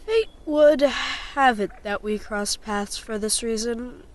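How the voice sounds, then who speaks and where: Woman playing high-pitched earnest little boy Danny. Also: slow. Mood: determined. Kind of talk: audio storybook drama.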